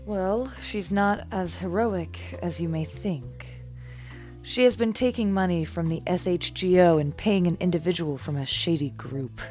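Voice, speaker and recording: A low female voice from an actress as a weary vigilante superhero. She speaks slowly and guardedly, with reserve, as in an audio drama. Music plays underneath. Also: a little mellow, lightly acted. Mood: serious, weary.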